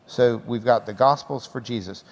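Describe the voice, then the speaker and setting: A man hosting a class interview, joking and drawing points out slowly. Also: medium pitch. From Texas. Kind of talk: teaching interview before a class. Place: church classroom or hall. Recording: long reverb of a hall.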